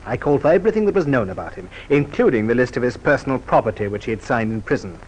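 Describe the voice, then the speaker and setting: An actor playing a brisk, methodical police superintendent recounting a case in an old radio crime drama, measured and authoritative. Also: male voice, medium pitch, expressive, a little mellow, breathy edge, low-quality recording. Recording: old radio recording, light hiss.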